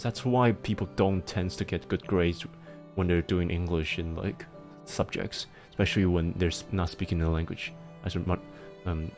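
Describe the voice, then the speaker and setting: A man livestreaming and chatting casually, explaining things in halting bursts with frequent restarts and laughter, speaking with a mixed accent. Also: low-pitched, darkish tone. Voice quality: self-described mixed accent. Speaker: livestreamer answering chat.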